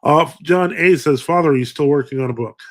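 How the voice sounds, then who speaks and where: A man's voice: fairly high pitch, brisk. A man, addressed as a priest, hosting a live stream, reading chat comments aloud and answering them with frequent fillers and restarts. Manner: hesitant, many fillers and restarts.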